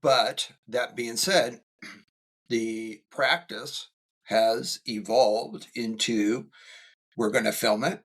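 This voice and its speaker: Husky, bright-toned middle-aged man. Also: medium pitch, fairly even intonation, extremely slow. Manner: dry teasing humor, rambling storytelling. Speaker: school safety specialist, co-host. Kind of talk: casual podcast chat.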